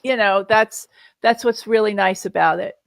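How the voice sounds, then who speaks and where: Middle-aged woman with a creaky voice. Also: mid-range voice, normal speed, no echo. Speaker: guest parent and experienced product user answering viewer questions. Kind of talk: casual live Q&A chat.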